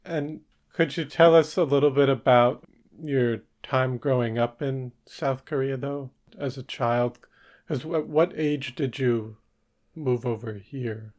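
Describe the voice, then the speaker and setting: A man hosting a library podcast, reading a smooth, measured introduction and then asking questions. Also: medium pitch, fairly even intonation, darkish tone. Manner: smooth and measured when reading, brief murmured backchannels. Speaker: podcast host. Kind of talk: scripted intro, then casual interview.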